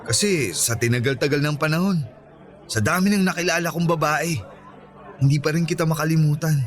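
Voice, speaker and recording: A man's voice: a little high, a little fast, close to an everyday voice. A man acting a teasing, cocky young man in love with an old friend, in a radio romance drama. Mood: earnest, lovestruck. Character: Ronnie, teasing, cocky, lovestruck young male childhood friend. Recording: background music and crowd chatter.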